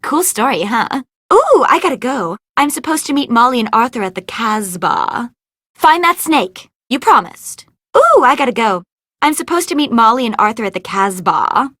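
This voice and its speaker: A woman's voice: on the high side, very animated, normal speed, a bit bright, no room sound, studio quality. A woman voicing a vain, ditzy young movie actress in an adventure game, gushing and theatrical in a gossipy way. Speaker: video game voice actor. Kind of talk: animated adventure game dialogue.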